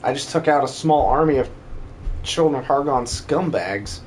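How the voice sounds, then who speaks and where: A man playing a hot-headed young fighter in a fantasy game fan dub, blunt and cocky, with a mid-pitched voice. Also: normal speed, a little mellow, a little hiss or hum, lightly acted. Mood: cocky, puzzled.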